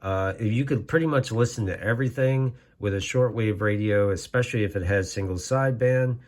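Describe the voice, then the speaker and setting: Low-voiced man explaining things casually. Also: fairly quick. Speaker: amateur radio video host. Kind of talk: casual informal explainer to camera.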